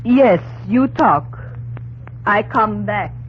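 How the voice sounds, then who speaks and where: An actress playing a calm young woman guide who speaks slow, halting broken English in an old-time radio drama. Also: mid-range voice. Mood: earnest, plain. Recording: tinny, noisy old radio recording.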